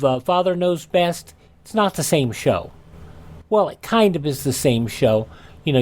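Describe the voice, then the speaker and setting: A man's voice: a little high, a little mellow. A man hosting a radio show in a casual, folksy, somewhat rambling and hesitant way. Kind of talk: casual radio hosting and personal product pitch.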